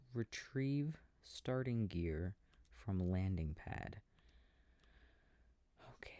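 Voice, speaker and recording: A man playing an affectionate, easily confused boyfriend in a soft sleep-aid roleplay, muttering casually and hesitantly to himself over a video game, close to the microphone. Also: lowish voice, creaky, no echo, exaggerated voice. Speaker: audio roleplay performer. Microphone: close microphone, dry. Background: controller clicking sounds.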